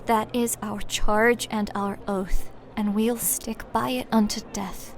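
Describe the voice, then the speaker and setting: A woman's voice: mid-range voice, level tone, slow, a little hiss or hum. A woman playing a resolute female ninja master in a fantasy audio story, speaking firmly and solemnly.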